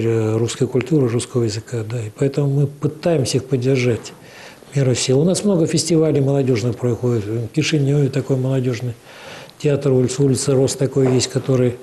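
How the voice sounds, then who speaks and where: A man with a soft, dark-toned voice answers interview questions as an official. He explains things in a steady, measured way, often pausing on drawn-out hesitations. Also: medium pitch, slight background noise. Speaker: interview guest, cultural organization official.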